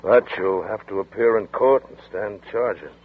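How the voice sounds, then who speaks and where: A steady, protective man speaks in a low, husky voice with a reassuring, matter-of-fact calm. It is 1940s radio drama on a tinny, phone-like broadcast. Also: a little slow. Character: steady, protective adult male friend.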